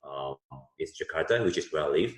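A man in his thirties from Indonesia, a software business owner answering interview questions casually, with many fillers and restarts. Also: rumbling low, expressive, brisk. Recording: roomy echo.

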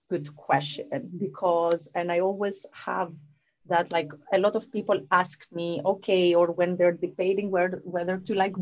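Low-voiced woman, Southern European accent. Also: a little mellow, muffled recording. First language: not English. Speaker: guest, leadership coach. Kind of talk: conversational podcast interview.

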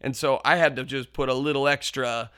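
A man's voice: medium pitch, fairly even intonation, fairly quick, no echo, clean studio sound. A man who is a teacher and podcast host, telling a classroom story in an earnest, reflective way and often restarting his phrases. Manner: builds up to loud, forceful quoted shouts in stories.

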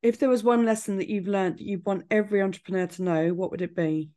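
A woman's voice: medium pitch, steady tone, quick pace, mellow. A woman hosting an interview, asking questions with playful, encouraging asides. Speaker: podcast host.

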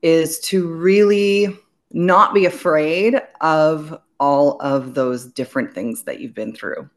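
A woman's voice: sits low, takes it slowly. A woman raised in Belgium, a business owner speaking as a guest in an interview, talking earnestly in long flowing sentences. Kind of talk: conversational interview.

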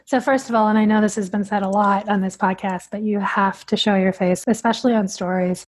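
A woman's voice: mid-range voice, fairly even intonation, a little fast, darkish tone. A woman speaking as a dietitian guest on a podcast, answering in a direct, earnest way.

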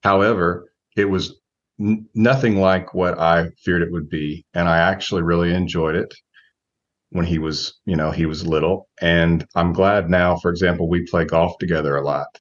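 A man in his forties with a very low voice talks through his answers as an interview guest. He is measured and reflective, feeling his way through phrases. The recording sounds dull and lo-fi. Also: steady tone. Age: 40s. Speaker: guest, relationship coach.

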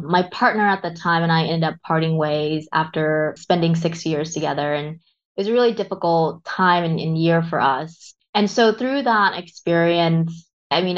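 Guest, woman in her 30s, low voice, candid and reflective, dull lo-fi audio. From Vietnam, raised in Texas. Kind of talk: reflective interview talk.

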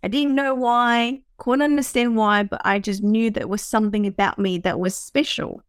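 A woman's voice: a little high, fairly even intonation, moderate pace, smooth, dry recording, clean studio sound. A woman from Vietnam, hosting a podcast, talking reflectively about her own life in a searching way with fillers and restarts. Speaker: podcast host. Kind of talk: reflective personal talk.

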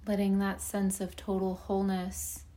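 A woman leading a guided meditation in a slow, soothing delivery, with long pauses and softly breathy phrase endings. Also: medium pitch, completely flat delivery.

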